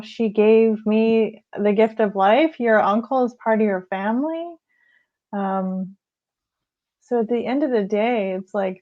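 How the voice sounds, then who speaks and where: A soft-spoken woman host, low on energy, wanders through her thoughts in a casual chat. Her middle-pitched voice comes through slightly dull, lo-fi audio. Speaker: life coach host.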